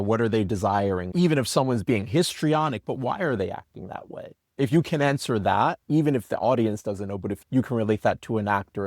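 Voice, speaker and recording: A man's voice: on the low side, a little mellow, no room sound, studio quality. A man speaking in an earnest, explanatory way in a conversational behind-the-scenes interview. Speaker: podcast guest, audio drama creator.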